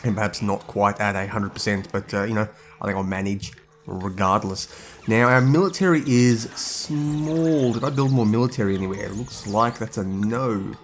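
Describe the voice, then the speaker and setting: A hungover, husky-voiced man, rambling casually as he comments on his own gameplay. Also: lowish voice, normal speed. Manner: rambling, thinks aloud. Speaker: gaming video host.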